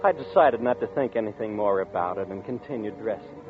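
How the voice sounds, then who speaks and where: A man's voice: lowish voice, brisk, lightly acted. An actor playing an anxious young man haunted by a nightmare, narrating in tense, brooding first person in 1940s radio style. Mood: uneasy. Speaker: narrator and lead character. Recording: tinny old radio broadcast with music.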